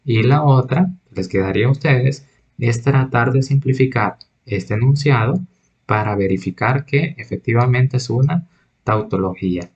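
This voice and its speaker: A man's voice: mid-range voice, normal speed, a little mellow, dull audio. A man teaching a recorded logic lesson, patiently reading examples and explaining them step by step. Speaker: math teacher.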